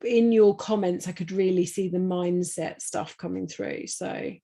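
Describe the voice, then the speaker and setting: A woman leading a group coaching call, encouraging members with soft affirmations. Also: lowish voice, fairly even intonation, measured pace, vocal fry.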